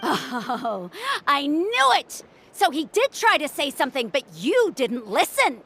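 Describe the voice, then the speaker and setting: An actress playing a fussy, exasperated younger sister and dutiful young doctor in a video game, scolding and emphatic. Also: female voice, high voice, very animated, slow pace, fairly bright tone, slight background noise, heavily acted. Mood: indignant.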